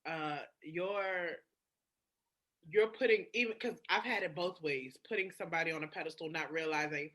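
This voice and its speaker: A woman in candid, casual podcast conversation, frank and expressive, acting out her own thoughts. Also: lowish voice, moderate pace.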